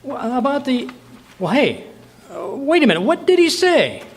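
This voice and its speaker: Casting: male actor as excitable folksy American husband, scheming and wisecracking, very animated intonation, radio comedy. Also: extremely high pitch, moderate pace, heavily acted. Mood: evasive. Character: blustery, scheming, excitable middle-aged husband. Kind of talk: old-time radio comedy acting. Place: clubhouse, live performance.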